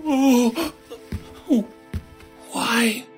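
A man acting in an audio horror drama as a grieving, protective shopkeeper, with a husky voice and an emotional, reproachful manner. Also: very high-pitched, drawn-out words, heavily acted. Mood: shocked, grieving. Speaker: voice actor. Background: music.

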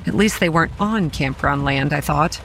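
Wry, low-voiced young campground manager. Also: female voice, moderate pace, gravelly, a bit bright, slight background noise. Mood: uneasy, brooding. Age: young adult. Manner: dry, exasperated. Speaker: narrator and lead character. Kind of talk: first-person horror audio drama narration.

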